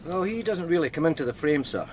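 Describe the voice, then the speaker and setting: An actor playing a measured, deferential male detective explaining evidence to his superior in a radio drama. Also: on the high side, expressive, a little mellow, slight background noise, close to an everyday voice. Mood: measured, matter-of-fact. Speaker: police officer.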